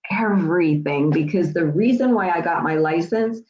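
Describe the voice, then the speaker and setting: A woman in her sixties hosts a casual, explanatory talk in a slightly low voice, chatty and repetitive, often pausing to check in with her listeners. The sound is dull and lo-fi, with a roomy echo around her. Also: a little mellow. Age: 60s. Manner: chatty, repeats herself, checks in with "all right?" and "okay?". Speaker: host. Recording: echoey room, dull lo-fi sound.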